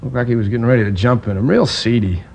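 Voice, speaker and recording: An actor playing a talkative, indignant liquor store manager recounting a robbery in a 1950s radio crime drama, rambling with hesitations. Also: male voice, medium pitch, fast talker, darkish tone, slight background noise, lightly acted. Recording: dull old radio transcription.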